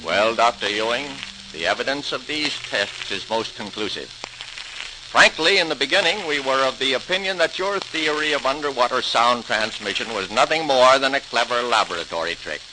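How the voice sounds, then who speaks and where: A man plays a senior official in a vintage radio docudrama, formal and decisive. His mid-pitched voice has lively, emphatic swings, with strong hiss and crackle from the old recording. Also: normal speed, close to an everyday voice. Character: authoritative senior male official presiding over scientific tests. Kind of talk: 1940s-style radio docudrama acting. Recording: noisy, sizzling old-time radio recording.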